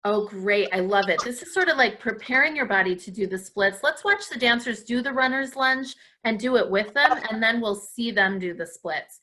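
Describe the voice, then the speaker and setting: Bright, high-voiced woman leading a class. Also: lively intonation, normal speed, hardly any pauses. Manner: encouraging, full of praise. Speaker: dance class host leading children's stretches. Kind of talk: live guided lesson for an at-home audience.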